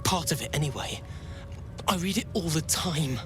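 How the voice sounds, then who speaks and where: A timid young alien trainee played by a man speaks in a slightly high male voice. He is earnest and halting, a dreamy idealist in a fantasy game. Loud music runs underneath. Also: expressive, close to an everyday voice. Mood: awed, secretive. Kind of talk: video game voice acting.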